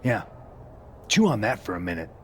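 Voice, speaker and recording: An injured, bitter wrestler who talks in a lowish voice, insisting he was right all along. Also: sing-song, moderate pace, noisy background. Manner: resentful, insistent. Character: bitter, injured man wrestler wanting revenge.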